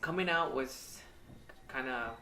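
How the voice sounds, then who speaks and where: A man in his thirties from Mexico, telling his life story in a candid, hesitant way with many pauses and ums. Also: medium pitch, vocal fry, bright, forceful tone, a little hiss or hum. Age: 30s. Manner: hesitant, halting, with frequent ums and uhs. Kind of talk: candid personal testimonial. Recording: echoey room, mic at a distance.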